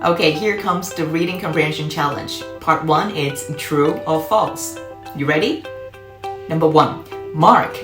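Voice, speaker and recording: A woman's voice: low voice, measured pace. A woman hosting a children's read-aloud, explaining words and putting questions to the listener as she narrates. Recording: roomy echo, faint music behind.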